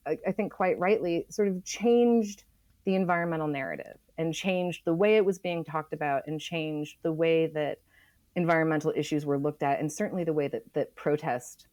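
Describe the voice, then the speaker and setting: A middle-aged American woman explaining in conversation as an interview guest, thoughtful and halting, leaning on key phrases. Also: low-pitched, darkish tone, dry recording. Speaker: author guest on a podcast.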